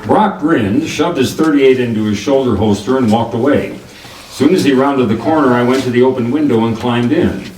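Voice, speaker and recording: An actor playing a wisecracking, tough private detective in a 1940s-style radio drama, with wry, clipped delivery. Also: male voice, medium pitch, normal speed, darkish tone, lightly acted. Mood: dry narration. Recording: roomy, distant mic with clear background noise.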